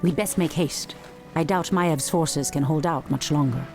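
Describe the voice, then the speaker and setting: A woman playing a proud, resolute elven high priestess who commands troops, formal and assertive, in a video game. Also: a little slow, over music. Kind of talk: dramatic video game cutscene acting.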